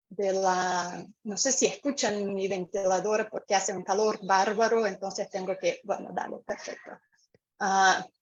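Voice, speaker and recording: A woman from Brazil with a Brazilian Portuguese accent speaking on a video call, unhurried and searching for words with many pauses. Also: medium pitch, muffled recording. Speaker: community member sharing. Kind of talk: online meeting talk. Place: home room with a fan.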